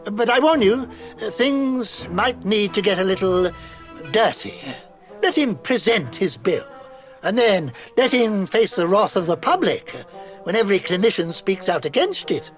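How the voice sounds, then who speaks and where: An actor playing a haughty, aristocratic royal physician who is a scheming villain, speaking in a pompous, contemptuous way. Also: male voice, sits high, big pitch swings, unhurried, soft background music.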